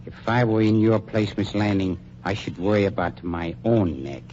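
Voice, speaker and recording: Man voicing a sinister undertaker, low voice, dark and mellow, silky menace, muffled old radio sound. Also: moderate pace, a little hiss or hum, natural voice. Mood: coldly amused. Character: suave sinister middle-aged male funeral director. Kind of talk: 1940s radio acting. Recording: muffled 1940s radio recording.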